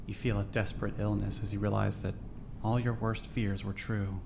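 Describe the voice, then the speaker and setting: A man's voice: lowish voice, flat delivery, normal speed, vocal fry, background noise. A man reading scripted narration for a fan-dub audio drama.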